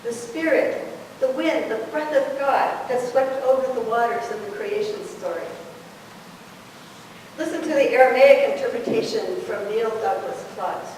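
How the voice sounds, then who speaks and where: Older woman speaking slowly in a hall. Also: on the high side, a little hiss or hum. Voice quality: fairly loud voice. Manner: slow, earnest, reflective. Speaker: guest speaker. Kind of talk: spiritual talk. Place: church hall. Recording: echoey hall from far off.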